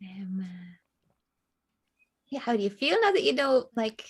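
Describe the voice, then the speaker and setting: A woman tattoo artist speaking as an interview guest in a casual conversation, relaxed and low-key. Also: measured pace, breathy edge.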